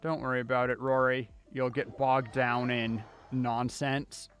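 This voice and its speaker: A man's voice: mid-range voice, little pitch movement, unhurried, fairly bright tone, clean tone. A man streaming a video game with casual, skeptical commentary and muttered asides. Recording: game music behind the voice.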